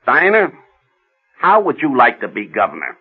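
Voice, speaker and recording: An actor playing a glib, pushy senator in a radio drama, smooth-talking, insistent and blustering. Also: male voice, mid-range voice, huge pitch swings, normal speed, phone-quality sound, exaggerated voice. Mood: pushy persuasion. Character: glib, pushy male senator and party fixer.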